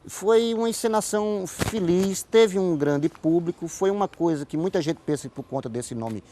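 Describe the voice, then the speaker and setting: Male interview guest, relaxed dark timbre, Northeastern Brazilian accent, conversational storyteller who restarts and repeats for emphasis. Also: high voice, normal speed, slight background noise. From Paraíba, Brazil. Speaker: interview guest, writer and playwright. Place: TV studio.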